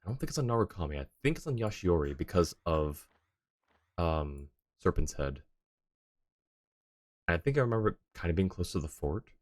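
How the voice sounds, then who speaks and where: A man's voice: low-pitched, sing-song, normal speed, long pauses, a little mellow, no room sound. A man talking casually on a live gaming stream, rambling and thinking aloud as he goes. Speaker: game livestreamer.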